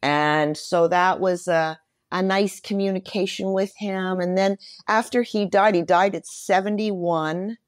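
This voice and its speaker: A woman in her sixties speaking candidly and reflectively as an interview guest, with measured emphasis. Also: mid-range voice, fairly even intonation, no echo, clean studio sound.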